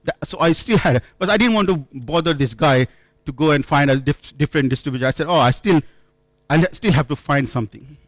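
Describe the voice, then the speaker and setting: A man's voice: fairly high pitch, no echo. A man from India, not an English speaker, giving a conference talk, telling stories with many restarts and self-corrections. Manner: halting, frequent restarts and self-corrections, storytelling. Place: conference room.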